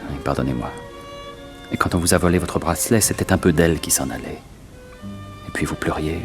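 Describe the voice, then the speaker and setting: A worldly, romantic gentleman thief in an old French radio serial. His voice is very low and his phrasing is courtly and tender, with prominent music behind him. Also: fairly quick. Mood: tender, wistful.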